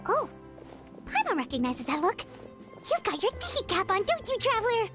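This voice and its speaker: A woman's voice: extremely high pitch, wildly swooping pitch, moderate pace, music in the background, heavily acted. A woman voicing a small, childlike female fairy companion in a video game, chirpy and earnest. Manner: speaks of herself in third person. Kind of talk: video game character dialogue.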